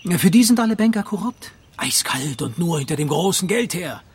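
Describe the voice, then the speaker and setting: An actor playing a smug, evasive banker in a German radio crime drama, with a glib, condescending manner. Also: male voice, high voice, animated, a little fast. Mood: gossipy, excited. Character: smooth-talking, evasive, snobbish male banker. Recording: clear background noise.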